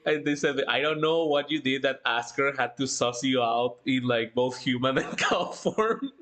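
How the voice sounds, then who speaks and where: Giggly, halting livestream host chatting casually. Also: mid-range voice, fairly bright tone. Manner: giggly, halting, breaks into laughter often. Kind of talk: casual chat, reading out live chat.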